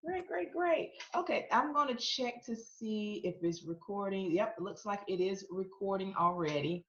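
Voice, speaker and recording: A woman's voice: medium pitch, expressive, moderate pace. Woman interview host on a video call, affirming, lots of "yes" and "awesome"; muffled, echoey audio. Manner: warm-up chatter, affirming with short interjections. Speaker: podcast host, author.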